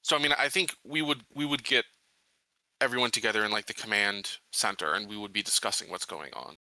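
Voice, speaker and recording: A man playing a tabletop roleplaying game, talking casually and reasoning through plans in a deliberate way. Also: lowish voice, pushed and bright, no room sound, poor audio. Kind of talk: casual in-game planning discussion.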